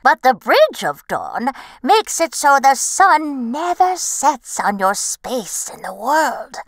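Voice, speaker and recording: Woman playing a grand, slow-talking crow fortune-teller. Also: high-pitched, big pitch swings, no room sound, pitched above the performer's own voice, full character voice. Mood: mysterious, solemn. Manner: theatrical, knowing, coaxing.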